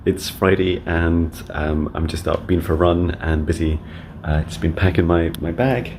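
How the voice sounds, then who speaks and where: A man, a psychic mentor, giving soft meditation messages and rambling video diary updates full of ums. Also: low-pitched, slight background noise. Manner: soft, slow affirmations; chatty with many ums in updates.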